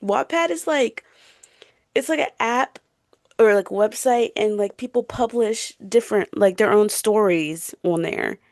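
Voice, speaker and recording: A woman's voice: medium pitch, a little slow, a little mellow, no echo, studio quality. A woman of about twenty co-hosting a podcast, chatting casually in a giggly, slangy way with lots of filler words. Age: around 20. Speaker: podcast co-host. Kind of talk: casual chat.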